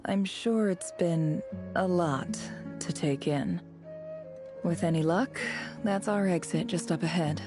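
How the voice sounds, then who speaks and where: A woman playing a cool, ruthless, secretive desert-born woman in a video game story, speaking in a measured, sardonic, superior way. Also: on the low side, over music. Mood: wry, assured.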